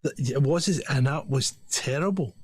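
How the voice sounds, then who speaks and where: A man's voice: mid-range voice, measured pace, breathy edge. A man co-hosting a casual podcast, talking emphatically and laughing often. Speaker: podcast co-host. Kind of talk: casual chat.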